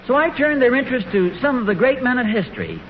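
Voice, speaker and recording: A man's voice: very high-pitched, huge pitch swings, fast, heavily acted. An actor playing an earnest, hesitant suburban American dad in an old-time radio family comedy, eager to impress his sons. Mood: flustered. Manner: hesitant, mild, stammering. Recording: muffled, noisy old radio broadcast recording.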